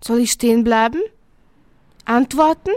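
High-voiced young woman narrating poetically. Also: measured pace, a little mellow, dry recording. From Serbia. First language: Serbian. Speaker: student narrator. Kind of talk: poetic radio feature narration.

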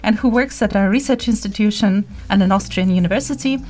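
A woman's voice: mid-range voice, fairly quick, a little mellow, faint music behind. A middle-aged woman whose first language is Ukrainian, a research center director, carefully reading a prepared introduction. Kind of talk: scripted radio introduction.

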